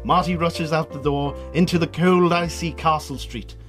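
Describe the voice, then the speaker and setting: A man's voice: on the high side, fairly even intonation, normal speed, a bit bright, faint music behind, natural voice. A man narrating a scripted radio drama in a theatrical storytelling manner.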